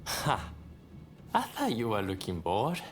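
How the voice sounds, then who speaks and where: A man's voice: lowish voice, huge pitch swings, slow pace, soft background music, exaggerated voice. A man playing a courteous, roguish samurai rebel leader with wry, theatrical phrasing in a video game cutscene. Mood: wry, breezy.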